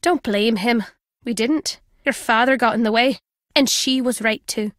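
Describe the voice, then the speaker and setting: A woman's voice: a little high, measured pace, a bit bright, dry recording. An actress playing a wry, guarded older woman with a British accent, a former spy in a video game, dry and fond in manner. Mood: wry, reminiscing. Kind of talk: video game character dialogue.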